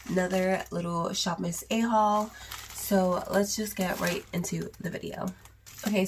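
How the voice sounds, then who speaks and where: A young woman with a sore throat and cough, chatting casually in a raspy voice through a makeup haul vlog. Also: mid-range voice, noisy background. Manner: chatty, rambling, with many ums and likes. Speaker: beauty vlogger.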